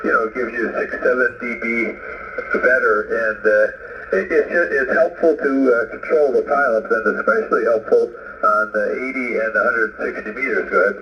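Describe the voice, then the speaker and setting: A man's medium-pitched voice comes through a band-limited radio transmission with light static. He chats casually and amiably as a ham operator, often pausing mid-phrase before carrying on. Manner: halting, pauses often mid-phrase, friendly. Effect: shortwave-style radio transmission. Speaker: visiting amateur radio operator. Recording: muffled, noisy single-sideband radio reception. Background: radio static and noise.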